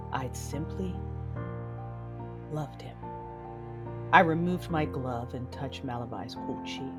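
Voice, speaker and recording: An actress playing a vengeful female Sith lord, narrating her own story in an audio drama with intense, deliberate delivery. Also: a bass voice. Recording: music behind the voice.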